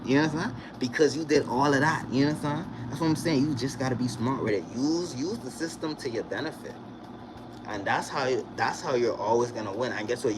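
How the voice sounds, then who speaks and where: A young man from the Bahamas, vlogging in a casual, animated way and often checking in with the viewer. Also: medium pitch. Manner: animated, repeats "you understand?" to the viewer. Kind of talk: casual direct-to-camera chat. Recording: noisy recording with vehicle sounds behind.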